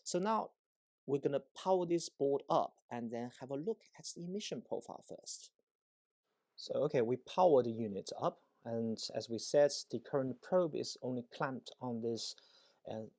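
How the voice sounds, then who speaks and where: A man's voice: mid-range voice, lively intonation, moderate pace, warm tone. A man presenting a technical demonstration as an engineer, explaining step by step and often checking in with short tag questions. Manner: explanatory, frequent check-in tags like "right?" and "okay?".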